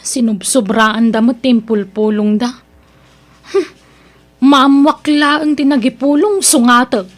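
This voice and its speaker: A woman's voice: high-pitched, steady tone, slow. A woman playing a scheming, resentful young adult daughter in a radio drama, protesting in a whiny way. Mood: defensive. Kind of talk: radio drama acting in Ilocano.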